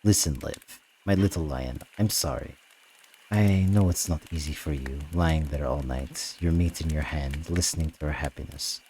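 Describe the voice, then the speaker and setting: A man performs a swaggering young Russian man from an audiobook, with a very deep voice. He is cocky and theatrical, bragging and teasing as he goes, over faint background noise. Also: moderate pace, warm tone. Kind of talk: acted audiobook dialogue.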